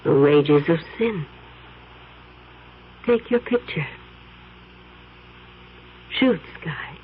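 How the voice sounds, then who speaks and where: A woman's voice: deep voice, expressive, extremely slow, a little hiss or hum, full character voice. An actress playing a seductive, scheming witch in a 1970s radio drama, purring and teasing with menace. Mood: menacing. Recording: dull 1970s radio broadcast.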